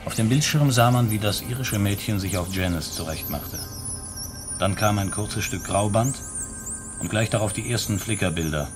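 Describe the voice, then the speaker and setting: A man's voice: lowish voice, moderate pace, over music, natural voice. A man narrating a science-fiction detective radio play in a sober, detached, descriptive way.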